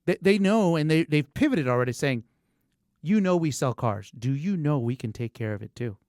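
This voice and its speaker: An animated man in a business podcast conversation, with a pitch that swings widely. He hammers points by repeating them and slips into acted-out quotes, talking informally. The sound is dry and close. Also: normal speed, dry recording. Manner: emphatic, repeats words for effect; informal, acts out imagined quotes. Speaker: car dealership service coach.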